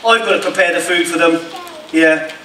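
A man's voice: on the high side, steady tone, normal speed, sharp tone. A man playing a henpecked, money-grubbing husband in pantomime, telling jokes ruefully to an audience. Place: theatre stage in a village hall. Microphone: far camera. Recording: echoey noisy hall from far off.

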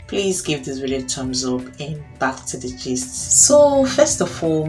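A woman hosting her channel, reviewing a film in an enthusiastic, chatty voice-over that calls viewers guys. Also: low-pitched. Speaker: channel host. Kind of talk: scripted entertainment review voice-over. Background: soft guitar music.

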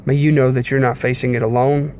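A young man giving an informal devotional sermon in an earnest, measured way, pausing between short phrases. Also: medium pitch, little pitch movement, a little mellow. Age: young adult. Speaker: preacher giving a devotional message. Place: inside a car. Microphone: phone at arm's length. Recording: clear background noise inside a car.

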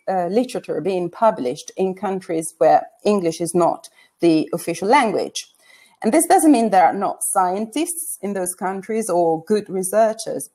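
A middle-aged woman with an Italian accent talks through a headset on a video call. She is earnest and reflective, often repeats words as she searches for the right phrasing, and has a mid-range pitch. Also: a little mellow. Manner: earnest, thoughtful, many restarts. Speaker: conference speaker. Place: small bright room indoors.

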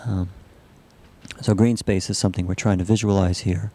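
A man narrating a software demonstration as a researcher, explaining it in a halting, measured way. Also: deep voice, flat delivery. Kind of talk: live demo narration of a computer animation.

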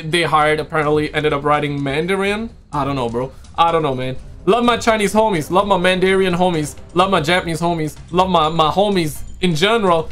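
Animated man reacting on stream. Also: a little high. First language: not English. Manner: animated, slangy, repeats phrases, mocking. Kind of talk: casual reaction commentary. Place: home streaming room. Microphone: headset.

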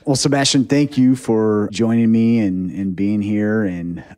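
A man's voice: fairly low pitch, fairly even intonation, measured pace, mellow. A man hosting a podcast interview, chatty and friendly, with frequent affirmations and restarts. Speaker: podcast host. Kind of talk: casual interview. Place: retreat venue in Germany.

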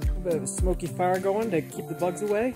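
A woman in her forties chatting casually to a phone held at arm's length in a forest, relaxed and laughing easily. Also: lowish voice, brisk, over loud music. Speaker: vlogger. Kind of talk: casual solo travel vlog. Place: outdoors in a forest.

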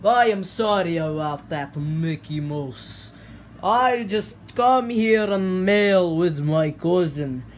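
A big pink plush troll, as a man puts him on, brags in a thick mock Russian accent and drops little words as he goes. The voice sits high and the talk comes out slow and self-important. It is caught on a phone in a bedroom. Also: animated, a little hiss or hum. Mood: boastful. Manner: pompous, broken grammar.

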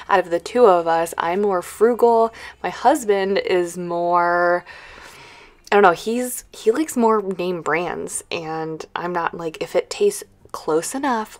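A woman in her thirties talks like a home vlogger, loose and conversational. Her voice sits at an ordinary to slightly low pitch for a woman. She wanders from thought to thought in an informal, chatty style. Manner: rambling, conversational. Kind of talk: casual chatty vlog. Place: indoors at home.

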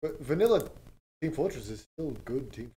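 A young man talks in a low-key, soft-spoken way, with a low buzzy edge to his voice. He is chatting casually as a podcast co-host over game sounds, with faint noise in the background. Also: lively intonation, moderate pace. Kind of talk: casual chat.

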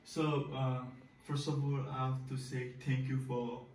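A man's voice: medium pitch, level tone, measured pace, a little mellow, recorded from a distance. A young man from Haiti with a Haitian Creole accent, speaking as a job applicant in a hesitant, self-recorded video with many restarts. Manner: hesitant, repeats words, often says "you know what I'm saying". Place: small bedroom with a desk and computer, indoors. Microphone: far camera, filmed from the side. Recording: echoey room, voice from afar.

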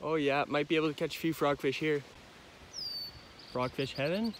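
A man's voice: fairly high pitch, fairly even intonation, normal speed, a little hiss or hum. A young man fishing at a pond, talking to himself in casual, sarcastic running commentary on a head-mounted camera. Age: young adult. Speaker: fishing vlogger. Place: outdoors by ponds in tall grass. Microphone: head-mounted action camera.

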